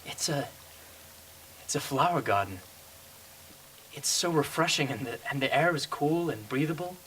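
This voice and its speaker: Radio drama rebel hunter, young male, earnest and stubborn, bright forceful voice; man acting. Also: on the high side, animated, moderate pace, poor audio. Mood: thoughtful. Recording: faint background noise.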